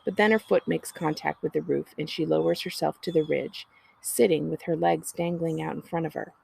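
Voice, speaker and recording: Husky, creaky woman reading a children's storybook. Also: sits low, fairly even intonation, brisk, darkish tone, low-quality recording. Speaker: librarian reading a book aloud. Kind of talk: expressive children's storybook narration.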